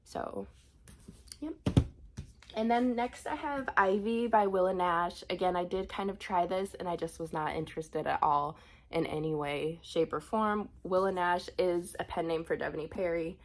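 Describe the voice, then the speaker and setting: A woman's voice: on the low side, moderate pace. Sniffly woman chatting casually about books. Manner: chatty, frequent ums and restarts. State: sniffly from a cold. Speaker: book vlogger. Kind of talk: casual book unhaul chat.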